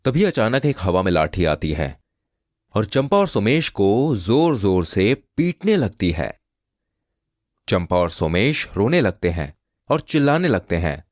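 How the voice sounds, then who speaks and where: A man narrating a scripted comic moral tale as an expressive storyteller. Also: on the low side, measured pace, no room sound, studio quality.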